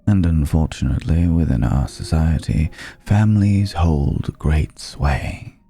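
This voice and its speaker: A man playing a suave, ancient vampire club owner in an intimate ASMR roleplay, speaking in a genteel, quietly menacing way and savouring his pauses. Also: extremely low pitch, husky, soft background music, heavily acted. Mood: wry, confiding. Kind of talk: ASMR roleplay, intimate second-person acting.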